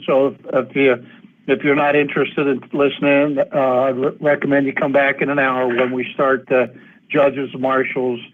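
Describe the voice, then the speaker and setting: A man moderating a group conference call, speaking in a halting, procedural, informal way. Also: medium pitch. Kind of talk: informal, halting meeting talk. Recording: tinny, phone-line group conference call.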